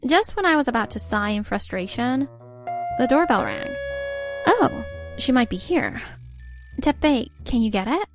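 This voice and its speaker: A woman's voice: on the high side, very animated, a little slow, long pauses, over music. A woman voicing a weary, timid young mother and nurse narrating her home life in a scripted manga dub. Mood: reflective narrating.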